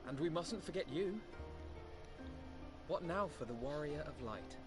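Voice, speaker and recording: A man's voice: a little high, animated, a little slow, over music. An actor playing a courteous, earnest young nobleman in a fantasy game, formal and polite.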